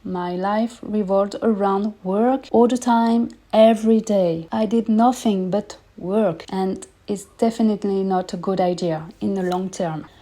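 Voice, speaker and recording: A woman's voice: mid-range voice, steady tone, measured pace, few pauses, warm tone. A French woman in her thirties hosting a personal podcast, speaking candid, earnest English as a second language with small grammar slips. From France. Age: 30s. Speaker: podcast host.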